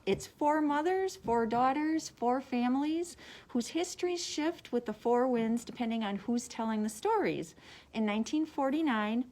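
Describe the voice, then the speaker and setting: A woman's voice: fairly high pitch, a little mellow. A woman librarian presenting book recommendations, partly reading summaries aloud.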